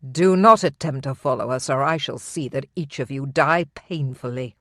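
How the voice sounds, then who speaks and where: A narrator voicing a young English knight who speaks in a steady, measured, reassuring way. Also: medium pitch, no echo, clean studio sound, pitched below the performer's own voice, close to an everyday voice. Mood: threatening. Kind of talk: audiobook character reading.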